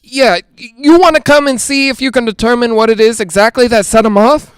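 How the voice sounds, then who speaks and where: A man playing an excitable, skeptical reporter in a 1940s-style radio drama, hesitant and stammering when rattled. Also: extremely high pitch, fairly quick, clear, resonant tone, no echo. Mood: uneasy. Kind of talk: 1940s radio drama acting, live on-the-scene commentary.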